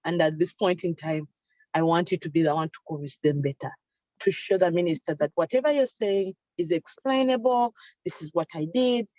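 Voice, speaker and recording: Woman panelist, low, earnest and explanatory, dull dry sound. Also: moderate pace, warm tone, no room sound. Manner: earnest and explanatory, acts out example statements. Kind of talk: advisory talk in an online panel.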